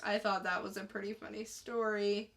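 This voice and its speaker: A woman's voice: medium pitch, flat delivery, normal speed, a bit bright. A woman co-hosting a podcast, telling stories in casual conversational banter. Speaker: podcast co-host.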